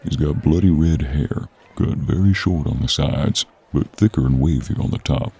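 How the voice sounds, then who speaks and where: Gruff monster hunter played by a man, extremely low husky voice, wry and informal but honourable, for audio roleplay. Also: normal speed, dark tone, exaggerated voice. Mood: matter-of-fact. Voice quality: gravelly, husky. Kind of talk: audio roleplay acting, speaking to the listener.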